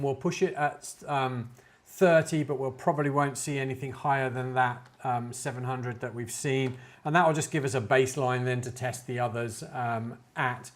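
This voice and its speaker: Man thinking aloud through a tech demo. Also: mid-range voice. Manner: hesitant, thinking aloud while working. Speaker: tech reviewer demonstrating network gear. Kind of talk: informal screen-share tech demonstration.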